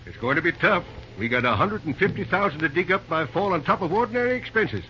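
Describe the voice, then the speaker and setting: A chatty, fatherly old railroad boss with a country drawl, bright and lively in pitch as he repeats himself. The sound is a muffled old radio transcription with a light hiss behind it. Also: quick pace. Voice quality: rural drawl, says ain't and reckon. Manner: chatty, easygoing, repeats words. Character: folksy older male railroad manager, fatherly. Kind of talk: 1930s radio acting.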